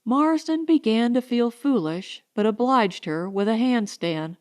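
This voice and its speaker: Slow, high-voiced female audiobook narrator. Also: lively intonation, a little mellow. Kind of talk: scripted story narration.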